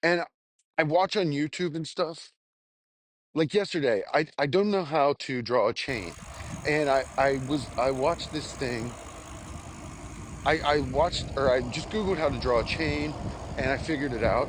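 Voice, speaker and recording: A man talking casually to his audience in a rambling, self-deprecating spoken journal, halting and crudely sweary. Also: medium pitch, heavy background noise. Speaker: vlogger.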